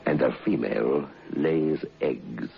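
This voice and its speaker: A betrayed husband bent on revenge in a radio play. His voice is extremely deep and gravelly, halting and coldly menacing. The old recording is muffled. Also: heavily acted. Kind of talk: radio drama acting. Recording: muffled vintage radio, faint noise.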